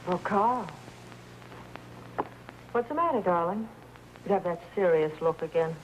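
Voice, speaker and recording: Coolly flirtatious femme fatale, noisy recording. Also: female voice, on the low side, animated, unhurried. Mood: teasing. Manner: teasing, languid, aloof. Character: coolly flirtatious, dismissive unfaithful rich wife. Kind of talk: 1950s crime drama acting. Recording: noisy old 1950s TV drama soundtrack.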